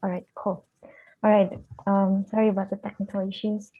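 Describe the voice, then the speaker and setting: A woman's voice: medium pitch, flat delivery, normal speed. Young woman from Indonesia, tinny line. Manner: soft-spoken, hesitant with frequent fillers. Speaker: student presenter. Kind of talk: academic presentation. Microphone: video call.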